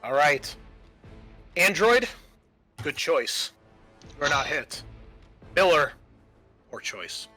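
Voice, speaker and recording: A man's voice: fairly high pitch, very animated, takes it slowly, pauses often, pushed and bright, slightly rough. A man acting as game master, narrating and making rulings in a casual, profane way. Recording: music behind the voice.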